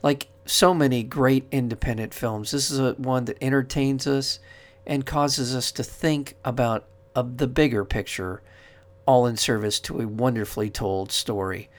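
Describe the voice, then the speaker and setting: Male radio host, measured and earnest, long-winded interview questions. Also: medium pitch, steady tone.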